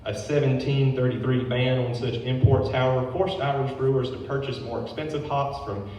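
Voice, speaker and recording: A man's voice: medium pitch, fairly even intonation, moderate pace, warm tone, highs cut off. A man, a brewery owner, giving a public history talk, reading from notes with casual asides. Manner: informal asides between read passages. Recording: very echoey hall, distant mic, faint noise.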